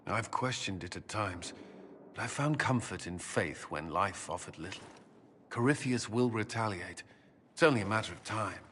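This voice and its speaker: An actor playing a solemn, devout military commander in a video game, brooding and righteous, with grave, restrained intensity. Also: male voice, fairly low pitch, expressive, moderate pace, a bit bright, slight background noise. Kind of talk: dramatic video game dialogue.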